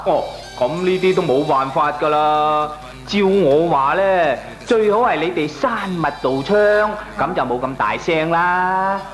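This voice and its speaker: An actor playing a shameless, glib dog owner in a radio comedy drama, arguing back with a Hong Kong Cantonese accent. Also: male voice, fairly high pitch, unhurried, soft background music, close to an everyday voice. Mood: stubborn, unbothered. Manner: glib, chuckling, argues back with lame excuses. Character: shameless, jovial dog-owning male neighbour brushing off complaints.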